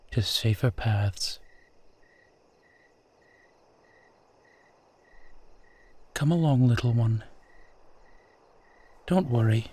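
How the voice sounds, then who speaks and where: A man playing a suave, centuries-old vampire in a roleplay audio drama, speaking formally, with old-fashioned courtesy and gentle teasing. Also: mid-range voice, husky. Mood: coaxing, reassuring. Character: suave, courtly centuries-old male vampire who looks twenty-eight.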